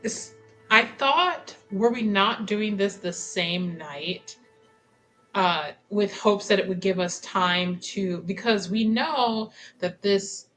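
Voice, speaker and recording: Woman, tabletop game player, slow pace, hesitant, rambling, medium pitch, faint background music. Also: a bit bright. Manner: hesitant, rambling, thinking aloud. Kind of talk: casual game-table discussion.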